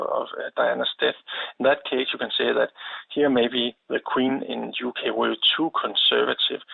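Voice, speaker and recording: Male expert guest, Danish accent, husky and flat in pitch, halting explanations, heard down a phone line. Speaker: expert guest, history lecturer. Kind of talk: radio interview, explaining. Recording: narrow, tinny phone-like line.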